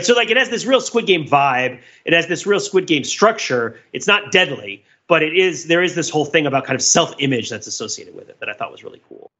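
A man talking casually as a podcast host, explaining things in a rambling, animated way and often correcting himself mid-thought. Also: fairly high pitch, highs cut off. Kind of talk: casual podcast discussion, recounting a TV show.

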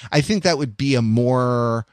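A man's voice: mid-range voice, a little slow, clean tone, no echo, clean studio sound. A man co-hosting a casual podcast discussion, rambling and often restarting his phrases mid-thought. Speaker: podcast co-host.

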